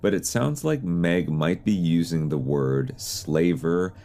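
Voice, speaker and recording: A man's voice: low voice, expressive, slow pace, vocal fry, warm tone. A man hosting an explainer series in a semi-scripted narration style. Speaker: host of an analog horror explainer series.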